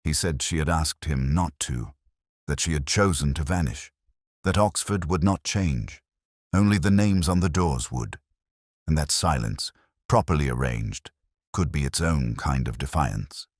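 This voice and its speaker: A man narrating a detective story in the first person, in a measured, brooding and somber way. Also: rumbling low, no echo, studio quality.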